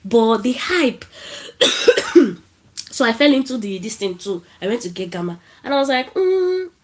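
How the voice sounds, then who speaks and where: A woman's voice: on the high side, sing-song, a little slow. A woman with a Nigerian accent who has a cold, giving a casual talk at an online tech event over a video call and asking the audience questions. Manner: chatty, asks the audience questions.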